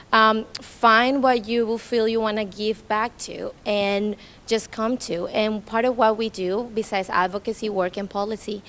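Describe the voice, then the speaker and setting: A woman from Colombia with a Colombian Spanish accent speaks at a community event in an informal, storytelling way. She is in her thirties and talks in English as a second language, chatty and animated as she retells conversations. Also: mid-range voice, a little hiss or hum. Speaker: nonprofit staff speaker. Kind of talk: informal public talk.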